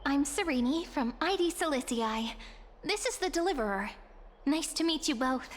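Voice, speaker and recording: A woman playing a polite, inquisitive young traveller heroine in a video game, gentle and thoughtful. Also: sits high, lively intonation, moderate pace. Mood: polite, friendly. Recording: long hall reverb.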